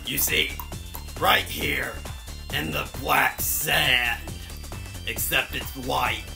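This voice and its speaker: A man's voice: mid-range voice, steady tone, drawn-out words, vocal fry, over music, full character voice. A man voicing a rambling male race host in a comedic fan dub, trailing off with lots of likes.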